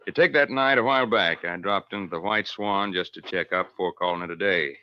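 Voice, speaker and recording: An actor playing a stern, friendless veteran western sheriff in an old radio drama, with folksy, ungrammatical speech. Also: male voice, low-pitched, fairly quick, clear, resonant tone, close to an everyday voice. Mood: reflective. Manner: folksy, plainspoken, ungrammatical drawl. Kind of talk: 1940s radio drama acting, first-person narration. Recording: dull, lo-fi old radio recording.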